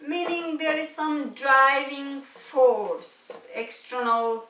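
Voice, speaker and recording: A woman with a Nepali accent teaches in a conversational, questioning way, as a lecturer would. Her voice sits fairly high. The recording is very roomy and picked up a little way from the microphone. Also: steady tone, takes it slowly, bright, forceful tone, a little hiss or hum. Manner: conversational, explains with questions and frequent checking tags. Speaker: physics lecturer. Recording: echoey room, distant mic.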